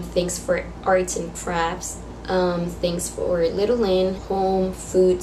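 A young woman, raspy from being sick, chatting quickly through a shopping haul in a vlog. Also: on the low side, noisy background. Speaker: beauty vlogger. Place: small indoor room against a plain wall.

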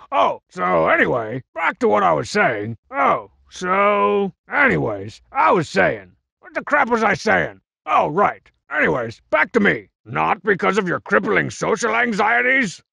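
A man voicing a cocky, scheming cartoon masked wrestler for a comedic video game, with a gravelly, strained rasp and a bragging, sarcastic, mock-cool manner. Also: sits high, sharp tone, no room sound, heavily acted. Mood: flustered, rambling, then sneering, cocky.

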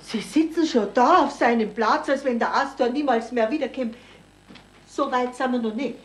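A woman's voice: on the high side, moderate pace, close to an everyday voice. An actress playing an older, demanding lady in a 1970s German radio drama, insistent, reproachful and wheedling. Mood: reproachful, urgent. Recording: slightly noisy 1970s radio recording.

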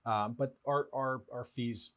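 A man's voice: medium pitch, fairly even intonation, slow, fairly bright tone. A man around forty explaining things as an interview guest, often checking in with a "right?". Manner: often tags statements with "right?". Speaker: animal shelter representative, interview guest. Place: small indoor studio-office, seated.

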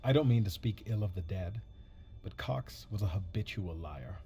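Reserved, evasive male crewman, low dark voice, measured and dryly courteous game dialogue. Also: expressive, slightly rough, full character voice. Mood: guarded, defensive. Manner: measured, formal, understated. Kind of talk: video game voice acting.

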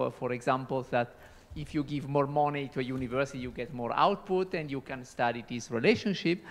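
A man in his forties in a large lecture hall, commenting into a handheld microphone during a conference question session. He hesitates often and repeats words. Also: mid-range voice. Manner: hesitant, repeats words, non-native phrasing. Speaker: audience member asking a question. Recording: echoey hall.

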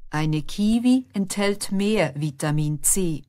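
A woman reading simple lesson sentences slowly and distinctly, repeating each one. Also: on the low side, expressive, dark tone. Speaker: language lesson narrator. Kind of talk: slow, clearly articulated example sentences, each said twice.

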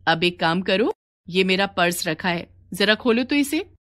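A woman's voice: medium pitch, lively intonation, measured pace, fairly bright tone. A woman voicing a kind, encouraging married neighbour in a cartoon story.

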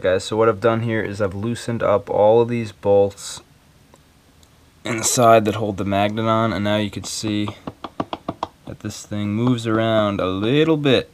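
A man talking casually and a little hesitantly through a hands-on repair tutorial, unscripted and informal. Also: fairly low pitch. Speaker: video maker giving a repair tutorial. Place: small cluttered carpeted room indoors.

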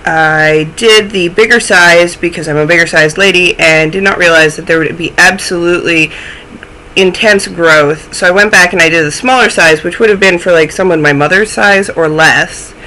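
A woman's voice: lowish voice, moderate pace, sharp tone, slight background noise, poor audio. A young woman hosting a knitting podcast from home, chatting casually to a webcam with a stuffy, snuffly voice and self-deprecating asides. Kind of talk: casual chat to camera. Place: small room at home, indoors.

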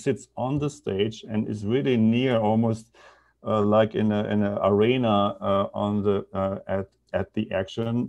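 A middle-aged man with a German accent giving an informal academic talk over a video call, haltingly thinking aloud. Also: lowish voice, vocal fry, a little mellow. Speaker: presenter, media artist and professor. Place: indoor room on a video call.

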